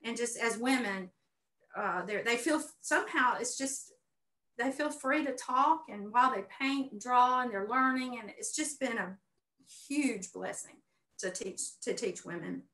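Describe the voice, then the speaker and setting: A woman's voice: fairly high pitch, a little slow, a bit bright. A middle-aged woman talking as a guest in an earnest, effusive conversational way, often repeating phrases for emphasis. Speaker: guest, painter and art teacher. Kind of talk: conversational personal testimony. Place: indoor room at home, on video call. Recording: roomy, echoey video call sound.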